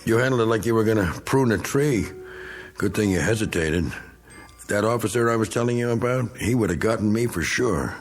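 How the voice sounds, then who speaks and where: An actor playing a bitter, taunting American war veteran in a radio drama, with a gruff, sarcastic manner and an American accent. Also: male voice, fairly low pitch, fairly quick, soft background music, lightly acted. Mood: shaken.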